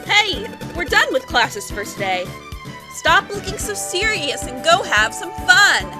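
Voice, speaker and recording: Woman playing an extremely high-pitched perky schoolgirl. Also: very animated, unhurried, music in the background, heavily acted. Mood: cheerful, nagging. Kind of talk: animated game fan dub acting.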